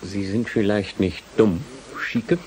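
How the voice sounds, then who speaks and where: An actor playing a haughty, condescending professor in a German radio play, easily indignant and pompous. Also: male voice, on the low side, steady tone, slow, a little mellow. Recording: faint hiss behind the voice.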